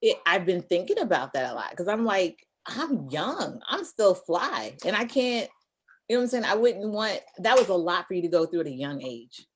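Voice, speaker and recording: A woman's voice: lowish voice, sing-song, breathy edge. Chatty woman host in her thirties. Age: 30s. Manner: chatty, teasing, quick asides. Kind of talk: casual chat.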